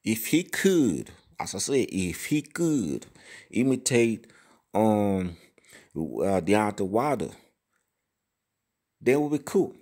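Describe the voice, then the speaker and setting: A man with a fairly low voice delivers casual boxing commentary to his subscribers. He swears freely and keeps asking whether you know what he means. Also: big pitch swings, slow pace, pauses often, a little mellow. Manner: profane, repetitive, keeps saying "you know what I mean". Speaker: boxing channel host.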